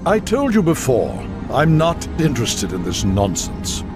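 A man playing a wise, gruff old wizard mentor in a fantasy game, speaking with measured authority. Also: medium pitch, wildly swooping pitch, music in the background. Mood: curt.

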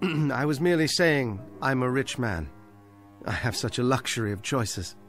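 An actor in a radio drama playing a bookish condemned citizen, wry and defiant, speaking in a measured, theatrical, literary way. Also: male voice, mid-range voice, animated, a bit bright, soft background music, lightly acted. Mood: calm, defiant.

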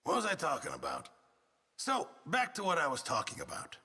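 Gravelly, excitable Western camp cook. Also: male voice, a little high, fairly quick, long reverb tail, exaggerated voice. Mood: casual. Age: middle-aged. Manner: exasperated, excitable, swinging from mutters to shouts. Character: gruff, fretful middle-aged male camp cook in a Western. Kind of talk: video game ambient character barks.

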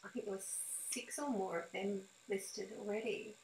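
A woman's voice: mid-range voice, fairly even intonation, moderate pace, a little mellow, a little hiss or hum. A middle-aged woman, asking questions in a conversational interview. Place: indoor home room. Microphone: computer mic on a video call. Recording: echoey room on video call.

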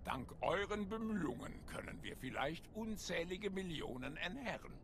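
Game military commander, alien, gravelly and resolute, solemn delivery over noisy ambience. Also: male voice, sits high, lively intonation. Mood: businesslike, grateful. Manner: solemn, measured. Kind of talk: dramatic game cutscene acting. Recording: game ambience and noise behind voice.

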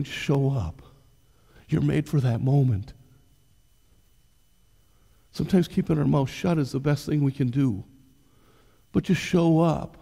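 An older pastor preaches from a church stage in a mellow, slightly high voice. He talks to the congregation conversationally and keeps putting questions to them. A long hall reverb trails each phrase. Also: vocal fry. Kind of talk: sermon.

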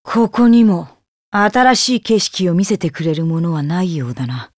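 A woman's voice: on the low side, sing-song, moderate pace, darkish tone, no room sound, clean studio sound. A woman playing a ruthless young demon boy in an anime game, haughty, contemptuous and menacing. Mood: disdainful.

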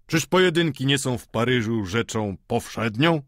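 A man's voice: mid-range voice, lively intonation, a bit bright, dry recording, clean studio sound, close to an everyday voice. A man voicing a sly, cool, sardonic older schemer in an audiobook, drawling with irony. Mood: calm, mocking. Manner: unhurried, drawling, ironic.

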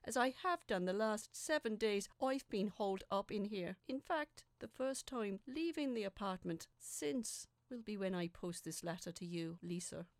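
A woman reading scripted first-person fiction as a dramatic narrator, with a deliberate, suspenseful, theatrical delivery. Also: mid-range voice, animated, no echo.

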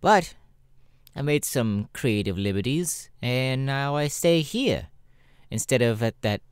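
A jaded male spider demon, played by a man for an ASMR roleplay. His pitch swings widely as he swears and drawls with weary sarcasm, recorded close and dry. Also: lively intonation, takes it slowly, clear, resonant tone, no room sound. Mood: bitter, resigned. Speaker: voice actor, ASMR roleplay artist. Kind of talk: ASMR character audio roleplay.

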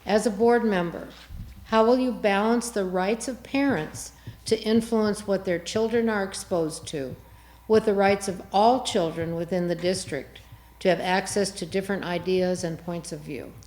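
A woman's voice: medium pitch, moderate pace, a little mellow. A woman moderating a candidate forum, formally reading out questions in a roomy studio with some echo. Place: public access TV studio.

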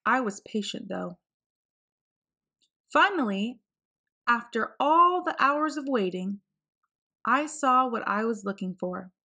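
Children's storybook narrator: woman, slightly high voice, expressive read-aloud delivery. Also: slow pace, pauses often. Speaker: children's picture book reader.

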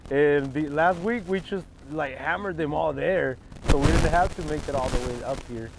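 A man with a Mexican accent and a fairly high voice talks casually as a fishing guide. He is a native Spanish speaker, recorded outdoors on a boat with faint noise behind him. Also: normal speed, gravelly. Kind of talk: casual chat while guiding.

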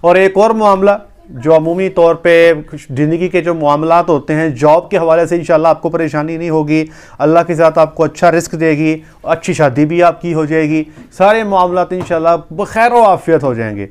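Earnest man with smooth voice. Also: fairly high pitch, normal speed. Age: 30s. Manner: earnest, explaining with lots of hand gestures. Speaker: veterinary doctor and YouTuber. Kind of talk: casual talk to camera. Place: small indoor room with wood-panelled wall. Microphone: lapel mic.

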